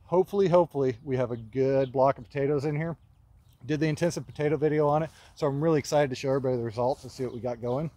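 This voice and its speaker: A man in his thirties walking a vegetable field outdoors and talking casually into a phone camera held at arm's length, offhand and relaxed as he points things out. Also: medium pitch, racing, no echo. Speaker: market gardener giving a farm tour. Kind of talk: casual walking tour talk.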